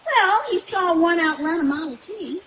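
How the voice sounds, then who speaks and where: A woman's voice: very high-pitched, lively intonation, moderate pace, fairly bright tone. A woman playing a folksy, jokey country gal in an old-time radio comedy skit, with a giggly delivery. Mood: folksy, joking. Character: folksy, jokey, man-hungry country woman named Mabel. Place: outdoor woodland stage at night. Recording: hissy live camcorder recording from a distance.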